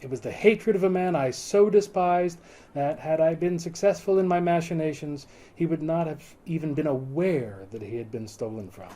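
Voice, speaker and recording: A man's voice: fairly high pitch, moderate pace, mellow, natural voice. A man playing a proud, bitter condemned sailor of the 1860s, telling his tale in theatrical, formal old-fashioned language in a dramatic novel reading. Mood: scornful, bitter. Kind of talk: dramatic reading of a novel aloud, first-person monologue.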